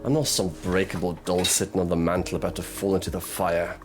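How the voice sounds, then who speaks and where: A man playing a gruff, sardonic detective in an audio roleplay drama, drawling and murmuring through his pauses. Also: deep voice, little pitch movement, lightly acted. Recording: music behind the voice.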